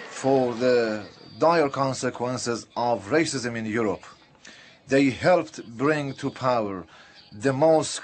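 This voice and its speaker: A man interpreting a political speech live, speaking in halting, broken phrases with uneven bursts. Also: mid-range voice, slight background noise.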